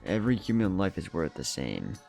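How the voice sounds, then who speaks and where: A man voicing an ancient, calm and wise male sage in a measured, philosophical manner for a story. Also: low voice, vocal fry, soft background music, heavily acted.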